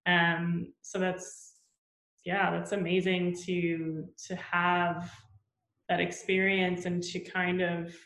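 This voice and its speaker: A woman's voice: lowish voice, completely flat delivery, slow, fairly bright tone. A woman hosting an interview, affirming and conversational, asking long questions. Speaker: podcast host. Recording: echoey room.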